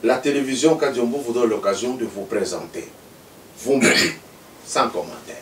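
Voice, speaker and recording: A man's voice: mid-range voice, expressive, moderate pace. A man from Congo hosting a TV interview, formal and emphatic. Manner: formal, emphatic, speaks in sweeping phrases. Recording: echoey room, mic far off, faint noise.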